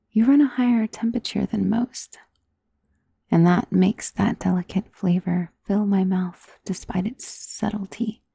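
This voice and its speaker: A woman voicing an elegant, doting, possessive vampire noble in an intimate ASMR roleplay, soft and breathy, often slipping into whispers. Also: mid-range voice, no echo, full character voice. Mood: savoring, hungry. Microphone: close studio mic.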